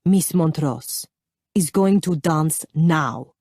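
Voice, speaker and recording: A woman playing a dashing, self-assured foreign baron with a foreign accent and a careful, deliberate, teasing delivery. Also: low-pitched, darkish tone, husky, dry recording, studio quality, full character voice. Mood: smooth, firm.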